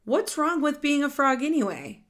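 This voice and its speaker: A woman's voice: high voice, big pitch swings, normal speed, fairly bright tone, voice pushed up. A woman voicing a small, complaining frog in a read-aloud picture book. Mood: whiny. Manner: pleading, complaining. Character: whiny little frog who wants to change.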